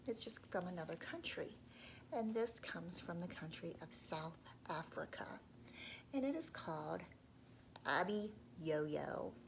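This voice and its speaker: A woman reading a folk tale aloud to children, pausing to ask them questions and dropping into whispers. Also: on the low side, slight background noise, low-quality recording. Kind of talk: children's read-aloud storytelling.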